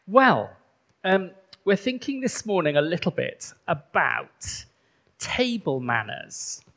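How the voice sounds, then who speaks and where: A man's voice: high-pitched, big pitch swings, slow, gravelly. A man from rural Wales, a bishop whose first language is Welsh, giving a chatty sermon in a church with many ums. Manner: warm, conversational preaching with frequent ums.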